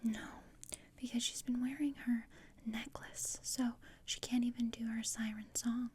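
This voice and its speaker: Woman as gloomy, haughty teen girl for ASMR roleplay: very breathy whisper, dark and soft, dryly sardonic. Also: fairly high pitch, a little slow. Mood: dry, defensive. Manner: deadpan, dry, haughty. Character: gloomy, sardonic teenage outcast girl, coldly disdainful.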